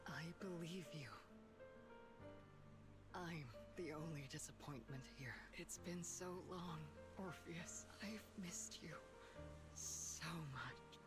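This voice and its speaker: Game drama: sorrowful, gentle woman, unhurried, slightly low, breathy. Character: sorrowful, weary woman speaking softly to old comrade. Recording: music behind the voice.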